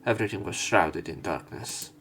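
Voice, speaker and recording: Low-voiced man reading a visual novel aloud. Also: lively intonation, normal speed, fairly bright tone, faint music behind. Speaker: let's play narrator.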